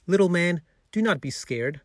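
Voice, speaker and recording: A man's voice: sits high, expressive, moderate pace, no room sound, studio quality, voice pushed up. A man voicing a friendly, reassuring uncle in a children's storybook, upbeat and encouraging. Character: friendly, reassuring young uncle bringing a surprise.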